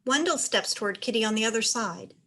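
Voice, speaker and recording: Woman narrator reading play script directions, voice a bit high. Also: a little fast, fairly bright tone.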